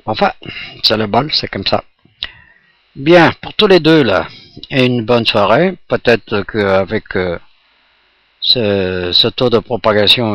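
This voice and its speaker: A man's voice: low voice, a bit bright. A man chatting casually as an amateur radio operator during a radio contact. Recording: roomy, muffled radio recording. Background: electric fan blowing nearby.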